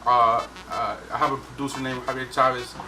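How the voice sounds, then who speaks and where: A man's voice: medium pitch, fairly even intonation, fairly quick. A man from Guatemala talking casually as a guest in an interview, laid-back and slangy, laughing easily. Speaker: rapper guest. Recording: distant mic with loud music behind.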